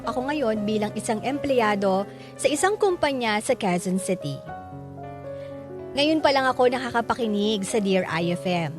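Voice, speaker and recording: A woman's voice: medium pitch, close to an everyday voice. A woman voicing an earnest letter sender, narrating memories of an admired parent in a scripted radio drama. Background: background music.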